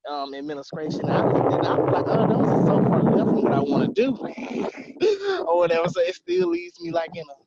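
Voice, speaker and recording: Man, 20s, high animated voice, rambling and eager, dull phone audio. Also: fairly quick, heavy background noise. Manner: rambling, self-correcting, upbeat. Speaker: program participant. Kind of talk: casual video call chat.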